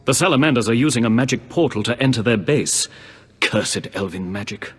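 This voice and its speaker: An earnest knight of a holy order for a fantasy game. His voice is rough-edged and formal, full of righteous purpose. Long reverb trails his words. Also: mid-range voice, lively intonation, fairly quick, fairly bright tone. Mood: urgent, resolute. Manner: solemn, formal. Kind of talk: video game voice acting. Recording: long reverberant hall echo.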